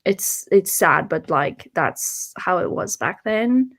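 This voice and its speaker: A woman's voice: fairly low pitch, animated, takes it slowly, a bit bright, husky. Woman co-hosting a casual book chat. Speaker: book podcast co-host.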